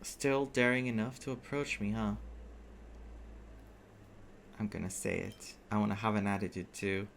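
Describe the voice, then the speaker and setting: A man's voice: on the low side, steady tone, moderate pace, gravelly, long pauses, a little hiss or hum. A man who is a native Greek speaker doing casual playthrough commentary, reacting aloud and explaining words as he reads them. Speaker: gaming streamer. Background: game music.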